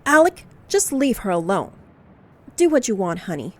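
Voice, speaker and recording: An actress playing a sassy, demanding wife on holiday in an audio horror drama, with a tart, haughty delivery. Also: female voice, medium pitch, very animated, normal speed, a little mellow.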